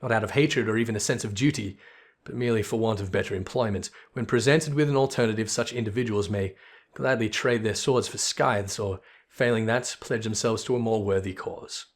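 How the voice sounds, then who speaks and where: A man's voice: lowish voice, fairly quick, lightly acted. Male reader as boisterous fantasy-game mercenary, sardonic, theatrical, old-fashioned phrasing. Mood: jovial, wry.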